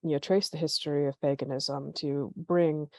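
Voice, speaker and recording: A woman's voice: low-pitched, steady tone, moderate pace, vocal fry, dark tone, dry recording. A woman hosting a podcast interview, enthusiastic and appreciative, with many "you know" fillers. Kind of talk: conversational interview.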